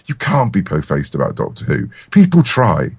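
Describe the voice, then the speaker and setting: A man with a deep voice talks casually as the guest in an interview. He is chatty and wryly self-deprecating. The sound is dry, with little room around it. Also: huge pitch swings, moderate pace, gravelly, husky, no room sound. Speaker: interview guest, comics and audio writer.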